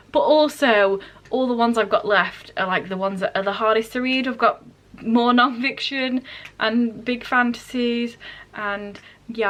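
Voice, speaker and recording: A woman's voice: fairly high pitch, fairly bright tone. A woman chatting casually to camera in a book vlog, informal and self-deprecating, with dry asides. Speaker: book vlogger.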